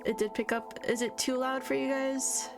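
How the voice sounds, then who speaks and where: A young woman streamer in college chatting casually while playing a game, soft-spoken and fairly monotone. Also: high-pitched, moderate pace, gravelly. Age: young adult. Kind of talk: casual live-stream chat while gaming. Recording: game music playing behind. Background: game music.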